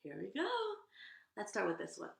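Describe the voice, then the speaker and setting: A woman's voice: lowish voice, sing-song, a little fast, gravelly, roomy sound. A woman hosting a children's story time, chatting to young listeners between read-alouds. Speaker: story time host and reader.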